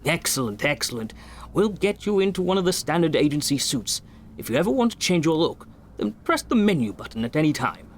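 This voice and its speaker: A sci-fi game doctor with a slightly raised voice, brisk and formal. He instructs in an encouraging way over noticeable background ambience. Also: on the high side, big pitch swings, slight background noise. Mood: brisk, instructive. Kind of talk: video game tutorial dialogue acting.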